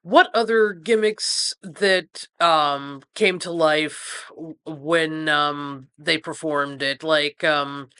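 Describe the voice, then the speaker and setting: A woman's voice: low-pitched, very slow, few pauses, sharp tone, clean tone. A woman hosting a podcast, asking questions casually and hesitantly, with many fillers.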